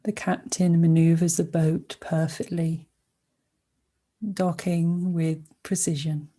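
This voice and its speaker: A woman guiding a sleep meditation with soothing narration, speaking gently and unhurriedly with pauses between short phrases. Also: low-pitched, level tone. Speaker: meditation guide.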